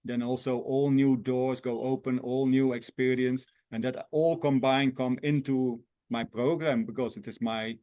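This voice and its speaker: A man in his sixties, a lifestyle coach and interview guest, speaking earnestly over a video call in halting phrasing with frequent restarts. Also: mid-range voice, fairly even intonation, dark tone, no echo. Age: 60s. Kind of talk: casual interview.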